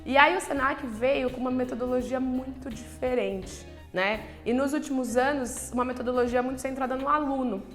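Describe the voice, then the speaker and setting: A nutritionist, talking frankly to camera in a chatty way, full of filler words and rhetorical questions. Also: female voice, high-pitched, moderate pace, few pauses, soft background music. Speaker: nutritionist and channel host. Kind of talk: casual talk to camera.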